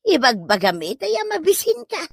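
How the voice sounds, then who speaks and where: Woman playing village wife, Ilocano accent, expressive, colloquial, animated intonation, dry recording, comedy drama. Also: high voice, moderate pace, dry recording, exaggerated voice. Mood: nagging, coaxing.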